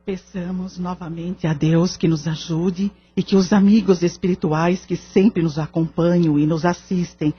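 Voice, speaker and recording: A woman playing a calm, authoritative leader of a spiritist group in an audio drama, measured and gently firm. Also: fairly low pitch, breathy edge. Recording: dull lo-fi audio. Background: background music.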